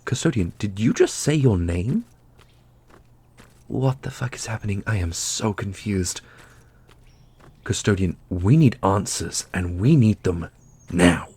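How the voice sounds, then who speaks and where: A man's voice: fairly low pitch, expressive, a little slow, pauses often, a little mellow, husky, voice pushed down, full character voice. A man playing a blunt, skeptical, plain-spoken adventurer in a fantasy audio roleplay, with an incredulous, demanding manner. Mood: confused, demanding. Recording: music under the voice.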